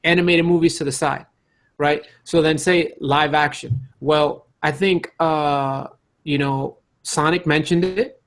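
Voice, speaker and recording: Insistent man with a higher-pitched voice, debating casually. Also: steady tone, measured pace. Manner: insistent, repeats phrases as he argues. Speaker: podcast panelist.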